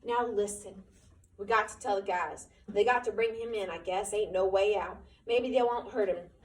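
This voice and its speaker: A woman's voice: on the high side, fast talker, voice pushed up, close to an everyday voice. A woman reading aloud, voicing a weary working man who speaks plainly and urgently. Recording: roomy, from far off.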